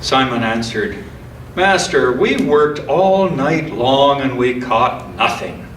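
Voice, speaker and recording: A man reading scripture and poetry aloud in church, with informal commentary, in a measured, deliberate, reflective way. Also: medium pitch, animated, fairly bright tone. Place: church, speaking to a congregation. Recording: roomy, distant mic, faint noise.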